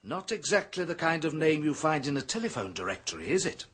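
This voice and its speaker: An actor playing a scholarly male history professor in a radio drama, hesitant at first and then rising to hushed intensity. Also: a little high, very animated, a little fast, slightly rough, full character voice. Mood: earnest. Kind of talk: 1970s radio drama acting.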